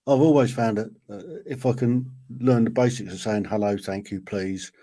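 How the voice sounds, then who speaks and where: A man hosts the conversation in a low, mellow voice. He tells chatty anecdotes and fills his pauses with hesitant sounds. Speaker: podcast host. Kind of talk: casual interview chat.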